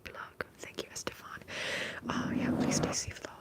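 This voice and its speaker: A woman streaming ASMR, whispering very close to the microphone in a breathy voice as she chats softly to viewers and repeats soothing trigger words. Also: moderate pace. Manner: soft, repetitive trigger words.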